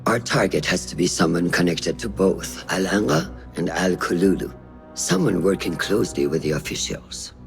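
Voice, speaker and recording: Secret-order scout, low pitch, calm, measured, analytical, game cutscene, music beneath. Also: male voice, gravelly. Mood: sober. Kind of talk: video game cutscene acting. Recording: music behind the voice.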